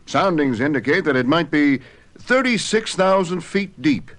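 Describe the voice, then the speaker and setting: Scientist-inventor father, genial and assured, fairly high voice with lively intonation, cartoon adventure drama. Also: unhurried. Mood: genial, explaining. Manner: assured, fatherly, clearly enunciated.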